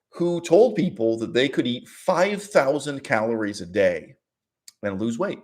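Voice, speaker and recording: A man giving argumentative online commentary in an emphatic, sardonic way, with rhetorical questions and deliberate repetition. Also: medium pitch. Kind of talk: argumentative commentary with read-out quotes.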